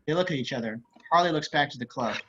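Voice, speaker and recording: A man's voice: medium pitch, animated, fast talker, bright, forceful tone. A man narrating stage directions for a screenplay table read over a video call. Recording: slightly dull video-call audio.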